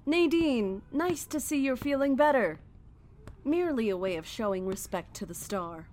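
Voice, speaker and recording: An actress playing a serene, fanatical cult leader in a horror audio drama, speaking in a measured, solemn, preaching way. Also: female voice, a little high, animated, a little mellow, close to an everyday voice. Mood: calm zeal.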